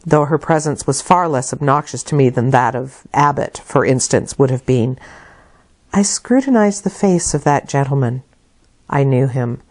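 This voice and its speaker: A woman reading literary narration aloud as an audiobook reader, with deliberate, dramatic phrasing. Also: low-pitched, darkish tone. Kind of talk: expressive scripted literary narration.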